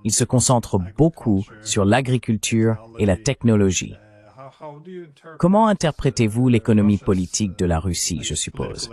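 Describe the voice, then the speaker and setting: A man with a fairly low voice voices an interview host in translation. His delivery is formal and read-out as he lays out background and questions. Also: big pitch swings, moderate pace, darkish tone.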